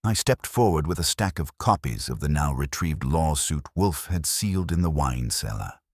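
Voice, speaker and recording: A man narrating a scripted detective story as an audiobook, in a measured, theatrical noir manner. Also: a bass voice, expressive, no echo, studio quality.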